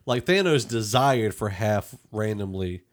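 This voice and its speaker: A man with a fairly low voice hosts a casual film review podcast. He talks conversationally, working through his thoughts out loud as he goes. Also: animated, measured pace. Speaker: podcast host.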